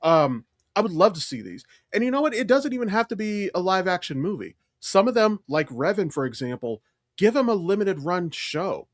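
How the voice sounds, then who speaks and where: A man's voice: high-pitched, very animated, moderate pace, dry recording, studio quality. A man co-hosting a podcast, talking casually and emphatically like an opinionated, enthusiastic fan explaining things. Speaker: podcast co-host.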